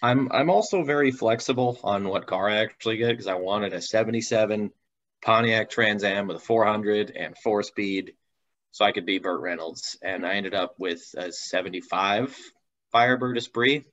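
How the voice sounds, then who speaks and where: A man's voice: fairly low pitch, moderate pace, fairly bright tone. A man chatting casually as an interview guest, with a wry, jokingly stubborn manner, over slightly dull video-call audio. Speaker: interview guest telling car stories. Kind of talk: casual chat.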